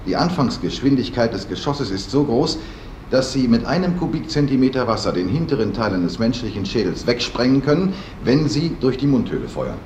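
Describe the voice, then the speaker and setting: An actor playing a shrewd, relentless police investigator in a science fiction radio drama, speaking with insistent, deliberate emphasis. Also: male voice, mid-range voice, very animated, darkish tone, distant mic, slight background noise, lightly acted. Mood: emphatic, explaining. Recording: roomy studio echo.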